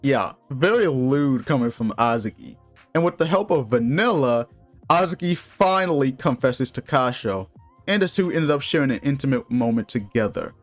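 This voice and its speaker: A man's voice: medium pitch, animated, normal speed. Man reviewing games over background music. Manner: informal, opinionated, mildly crude slang. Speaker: video game reviewer. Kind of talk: casual review commentary recapping a story.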